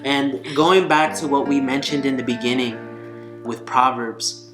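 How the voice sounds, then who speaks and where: A man's voice: medium pitch, steady tone, measured pace. A man vlogging in a casual, conversational and earnest way, telling a personal story. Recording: roomy echo, faint guitar music behind.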